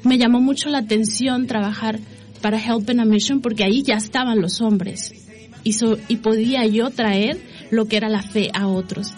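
A woman's voice: a little high, fairly even intonation, moderate pace, a little mellow, soft background music. A middle-aged woman telling her personal testimony in an interview, earnestly, voicing her own remembered thoughts as she goes. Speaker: interview guest, lay evangelization worker.

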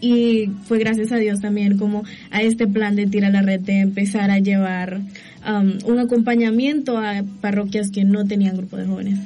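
A young woman from El Salvador, soft-spoken and earnest, telling her story as a guest. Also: mid-range voice, steady tone, normal speed. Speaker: youth group coordinator. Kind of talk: casual interview answers.

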